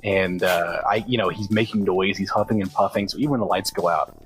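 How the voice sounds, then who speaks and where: Male tabletop player, distinctly low voice, loose gaming chat, music in the background. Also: fairly quick, poor audio. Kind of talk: casual game chat. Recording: music behind the voice.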